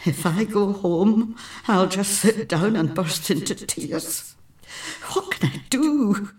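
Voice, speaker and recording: Lady doing a middle-aged woman, emotional, slow and gentle talk. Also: mid-range voice, lively intonation, far from the mic, voice pushed up. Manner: quietly emotional. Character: middle-aged Scottish woman, emotional but warm-hearted.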